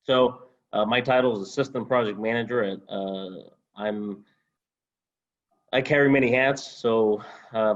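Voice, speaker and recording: A young man from Honduras, a former student, answering interview questions over a video call in a halting way with many fillers. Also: on the low side, highs cut off. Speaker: alumnus guest.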